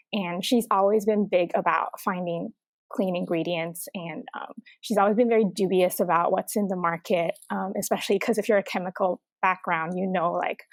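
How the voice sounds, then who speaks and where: A woman from Taiwan, a company representative, talking casually in an interview and pausing often with filler words. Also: on the low side, dry recording. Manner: halting, full of filler words.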